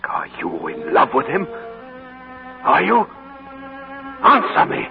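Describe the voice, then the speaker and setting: An actor playing a bitter, secretive older uncle with a stern, commanding manner in a 1940s radio drama. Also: male voice, lowish voice, wildly swooping pitch, takes it slowly, creaky, music in the background, heavily acted. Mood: harsh. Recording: tinny 1940s radio broadcast.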